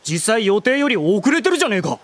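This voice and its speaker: Anime drama casting: petulant young nobleman, high-pitched, swinging intonation, rough slangy talk. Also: big pitch swings, a little fast, fairly bright tone. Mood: irritated, boastful. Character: spoiled, hot-headed, sulky teenage noble boy. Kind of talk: Japanese anime audio drama acting.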